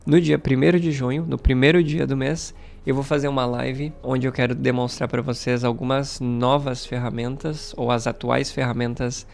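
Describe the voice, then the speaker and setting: A man's voice: mid-range voice, flat delivery, moderate pace, gravelly, hardly any pauses, a little mellow, faint music behind. A man with a Brazilian Portuguese accent who hosts as a language teacher, talking casually and emphatically to his viewers in a conversational way. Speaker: Portuguese teacher and YouTube channel host. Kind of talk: casual talk to camera, channel update.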